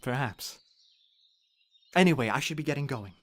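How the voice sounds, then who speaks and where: A man's voice: mid-range voice, lively intonation, normal speed, a bit bright. A young man playing an earnest, analytical student son in a radio play, articulate and reasoning. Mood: earnest, reassuring. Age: young adult.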